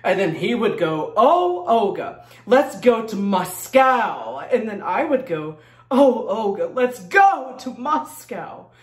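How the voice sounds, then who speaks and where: A woman's voice: medium pitch, big pitch swings. A woman playing a grandiose, flighty, self-adoring actress in a comic monologue, theatrical and effusive. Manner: theatrical, effusive, drawn-out exclamations. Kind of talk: comedic stage monologue. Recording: echoey room, distant microphone.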